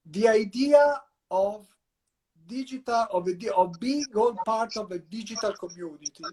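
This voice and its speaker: A man's voice: high voice, a little mellow, poor audio. A man with an Italian accent, a university professor, answering questions at length in a discursive lecturing way with restarts. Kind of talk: guest answering in an interview.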